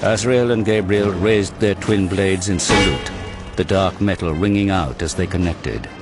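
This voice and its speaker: Deep-voiced man narrating over dramatic music. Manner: grave, measured storytelling. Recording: loud cinematic music behind the voice.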